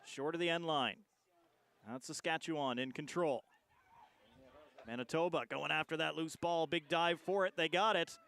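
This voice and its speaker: A man's voice: a little high, normal speed, creaky, long pauses, fairly bright tone. A man giving live play-by-play sports commentary on a rugby match.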